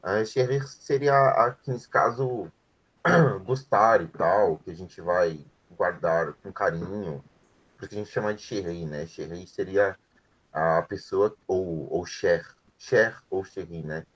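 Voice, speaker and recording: A man's voice: low voice, takes it slowly, low-quality recording. A man teaching French to adult students, explaining words patiently and checking in with frequent tag questions. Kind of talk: explaining grammar in an online class.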